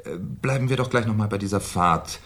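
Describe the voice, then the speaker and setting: A man acting a polite, pedantic, quietly sceptical police inspector in a German radio crime play, questioning hesitantly and deliberately. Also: fairly low pitch, lightly acted. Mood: methodical, courteous.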